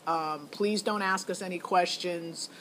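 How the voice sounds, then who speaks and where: A woman's voice: fairly low pitch, steady tone, a little slow, fairly bright tone. A middle-aged woman telling a personal story in a casual, candid, opinionated way. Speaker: parent recounting a child's audition. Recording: noticeable background noise.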